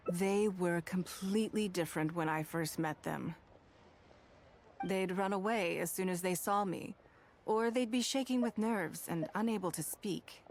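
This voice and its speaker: A woman voicing a shrewd, poised noblewoman in an anime game, composed and formal, firm but kindly. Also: on the low side. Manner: composed, formal, measured authority. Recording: music behind the voice.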